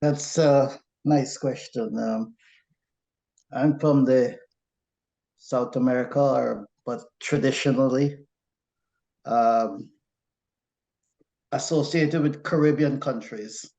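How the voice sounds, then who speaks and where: A man from Guyana giving a relaxed impromptu answer on a video call, chuckling now and then. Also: medium pitch, slow pace, creaky, pauses often, muffled recording. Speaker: meeting guest. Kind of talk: impromptu speech.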